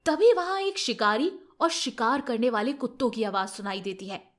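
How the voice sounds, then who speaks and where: A woman's voice: fairly high pitch, normal speed. A woman narrating scripted moral tales for children as a storyteller.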